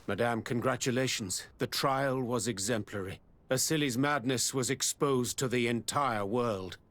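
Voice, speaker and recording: A man's voice: mid-range voice, normal speed, fairly bright tone, lightly acted. A man voicing a dignified middle-aged baron in a video game, formal and stately like a solemn statesman. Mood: gracious, reflective. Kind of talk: dramatic video game acting.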